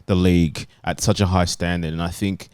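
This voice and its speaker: A man gives his take on football in a casual panel style. His pitch is very low for a man, and the recording is dry with little room sound. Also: little pitch movement, normal speed, darkish tone, clean tone, no echo.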